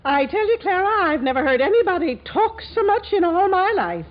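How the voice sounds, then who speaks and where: A woman's voice: very high-pitched, big pitch swings, brisk, soft background music. An actress playing a grumbling, scornful mother of grown children in a 1940s radio comedy, exclaiming in folksy, ungrammatical speech. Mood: scornful gossiping. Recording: dull, noisy 1940s radio transfer.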